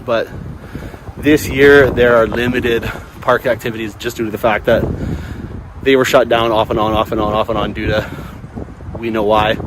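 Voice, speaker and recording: A man chatting casually outdoors for a vlog, rambling in a laid-back, slangy way. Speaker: vlogger. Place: outdoors at a ski area. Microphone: handheld or worn action camera. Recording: outdoor wind and rumbling noise.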